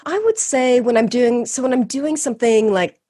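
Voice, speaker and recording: A woman's voice: fairly high pitch, expressive, moderate pace, dry recording, studio quality. A woman guest in a casual interview, talking eagerly about long-distance walking and laughing often. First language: English. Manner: warm, enthusiastic, laughs often.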